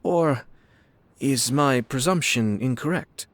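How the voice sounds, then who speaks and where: A man's voice: mid-range voice, slow, slight background noise, lightly acted. An actor playing a refined young male lord in a video game, speaking formally and eloquently with subtle wryness. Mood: polite, deliberate. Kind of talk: video game character dialogue. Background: soft music.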